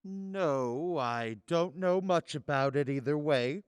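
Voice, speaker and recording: Man playing a smooth, droll, callous merchant. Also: a little high, takes it slowly, dry recording, studio quality. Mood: droll indifference. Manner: flippant, dryly droll. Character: callous, droll city merchant gossiping about a death. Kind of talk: radio drama acting.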